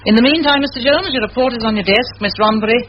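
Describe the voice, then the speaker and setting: A determined middle-aged schoolmistress acted by a woman, brisk, crisp and dryly witty. She speaks in 1940s radio drama style. The recording is old and muffled, with strong noise behind her. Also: a little high, close to an everyday voice. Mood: brisk, stoic. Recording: dull, noisy vintage radio recording.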